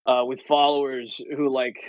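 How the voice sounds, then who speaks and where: A man's voice: mid-range voice, level tone, normal speed, no room sound, highs cut off. A young man from Ohio, a comedian guest telling stories in casual podcast chat with lots of fillers and swearing. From Ohio, USA. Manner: rambling, many fillers, swearing.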